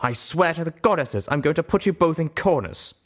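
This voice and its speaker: A man's voice: fairly high pitch, animated, a little fast, fairly bright tone, pitched above the performer's own voice. A man voicing a small young mare, an awkward and exasperated peacemaker, in a storybook reading.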